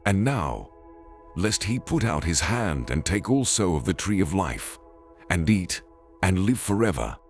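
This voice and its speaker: A man's voice: sits low, big pitch swings, measured pace, heavily acted. A man playing God as a stern, solemn and authoritative judge in a dramatized Bible reading. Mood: grave. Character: God, stern commanding male judge pronouncing curses. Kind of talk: dramatized Bible audio acting. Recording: background music under the voice.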